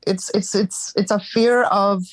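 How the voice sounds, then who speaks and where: A woman in a live therapy session over a call, speaking haltingly and searching for words, stuffed up from crying earlier. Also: medium pitch, steady tone, dry recording. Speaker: therapy client.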